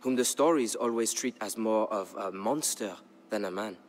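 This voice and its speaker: A man narrating a historical tale as a dramatic scripted story, in a measured, grave storyteller's delivery. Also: fairly low pitch. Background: background music.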